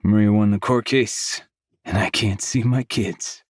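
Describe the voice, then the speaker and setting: A man's voice: on the low side, fairly even intonation, unhurried, no echo, clean studio sound. An actor playing a bitter, crude, drunk middle-aged man in an audiobook, slurring and growling belligerently. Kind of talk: audiobook character dialogue.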